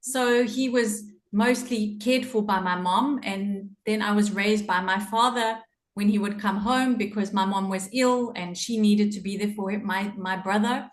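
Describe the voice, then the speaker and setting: A woman's voice: mid-range voice, steady tone, measured pace, reverberant. A middle-aged woman with a South African accent, a podcast guest telling her personal story in an earnest way, laughing often. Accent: South African English.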